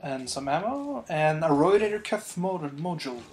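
A man's voice: fairly high pitch, sing-song, normal speed, a little mellow, a little hiss or hum. A young man from Norway with a Norwegian accent, tossing short teasing remarks while gaming with a friend. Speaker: let's play co-host. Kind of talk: casual gaming chat.

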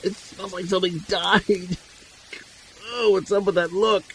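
An actor playing a nervous, frightened camper in an audio drama, jittery and prone to stammering. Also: male voice, high voice, fairly even intonation, a little slow, slight background noise, rough recording. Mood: fearful. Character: nervous, frightened male camper, novice hunter's friend.

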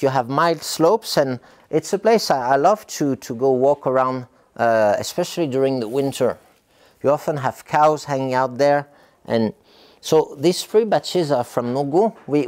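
A man's voice: medium pitch, a little mellow. Hesitant man rambling in a factory. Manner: hesitant, frequent filler sounds and restarts. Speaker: tea farmer and producer. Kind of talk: casual rambling vlog narration. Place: tea factory, indoors by a hot wok.

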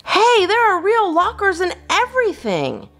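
A woman voicing an excitable, loud, easily frightened little boy in a children's storybook. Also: very high voice, measured pace, voice pushed up, exaggerated voice. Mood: excited. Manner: shrieking, exclaiming.

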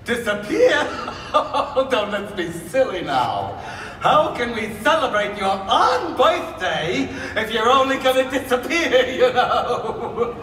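A man performing an excitable, giggly, spluttering old cartoon eccentric live, in a theatrical, exclamatory manner. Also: very high voice, takes it slowly, exaggerated voice. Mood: giddy, silly. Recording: distant, echoey hall audience recording, noisy.

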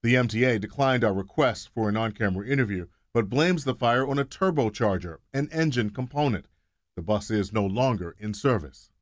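A middle-aged man reporting an investigative news story in a measured, emphatic scripted delivery. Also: medium pitch, lively intonation, no echo, studio quality.

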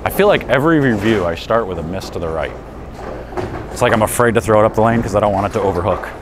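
Deep voiced guy in his 40s doing a laid back review, noisy bowling alley behind him. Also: lively intonation, quick pace. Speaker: product reviewer and bowling coach. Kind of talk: casual unscripted review talk. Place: indoors in a pro shop and bowling alley. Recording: clear background noise of bowling alley.

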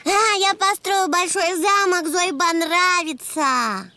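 High, bubbly little boy donkey. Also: noisy background, full character voice. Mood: eager. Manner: childlike, bubbly, simple slow phrasing. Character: cheerful, eager little boy donkey, playful child. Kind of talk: preschool cartoon dub acting. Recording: music bed behind the voice.

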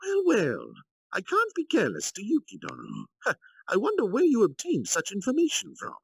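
A man's voice: high-pitched, wildly swooping pitch, normal speed, creaky, a bit bright, slightly rough, no echo, voice pushed up, exaggerated voice. A man voicing a scheming, self-important military commander in a grandiose, theatrical manner for a story reading. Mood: pompous, assured.

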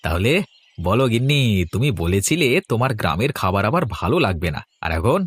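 A man's voice: fairly low pitch, very animated, a little fast, slightly rough. Man voicing a teasing city husband. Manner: teasing, pleading. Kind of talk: cartoon voice acting.

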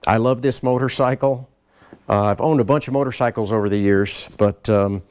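A middle-aged man giving a casual walk-around tour of his race car shop, explaining parts in a relaxed, practical way. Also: lowish voice, smooth. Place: large garage workshop, indoors.

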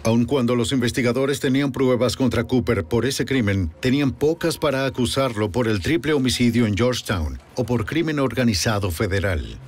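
A man giving measured scripted narration for a crime documentary, recorded as a voice-over. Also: medium pitch, expressive.

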